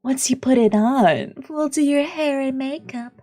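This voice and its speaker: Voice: high voice, measured pace, mellow, pitched above the performer's own voice. A streamer voicing a coaxing, matter-of-fact adult woman while reading visual novel dialogue aloud over music.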